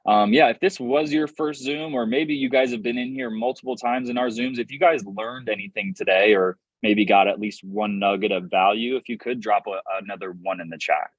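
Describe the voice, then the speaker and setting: A man's voice: fairly low pitch, expressive, normal speed, few pauses, no echo, highs cut off. A man hosting a live real estate workshop on a video call, upbeat and hyping up his audience. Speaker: real estate investing host.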